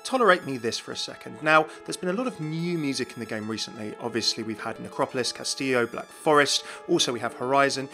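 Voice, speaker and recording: A man's voice: medium pitch, expressive, fast talker, few pauses, bright, forceful tone, soft background music. A man giving chatty, upbeat video game news commentary, speculating aloud as he presents.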